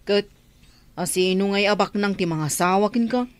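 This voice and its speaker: A woman's voice: medium pitch, moderate pace, smooth, lightly acted. An actress playing a teasing, skeptical neighbour woman in a radio drama, exclaiming in an Ilocano accent. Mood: teasing, curious. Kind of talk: Filipino radio drama acting.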